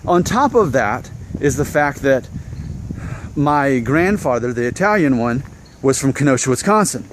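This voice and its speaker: A man in his thirties from Alabama with a mild Southern American accent, talking casually to camera in a relaxed, chatty way. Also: medium pitch, measured pace, a little hiss or hum. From Alabama, USA. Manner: relaxed, chatty, thinking aloud. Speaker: vlogger.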